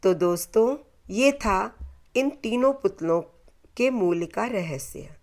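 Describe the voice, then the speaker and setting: A woman narrates a scripted folktale with a moral. Her delivery is very unhurried, broken into short, deliberate phrases. Her voice sits at an average pitch for a woman. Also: animated, a little mellow. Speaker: storyteller.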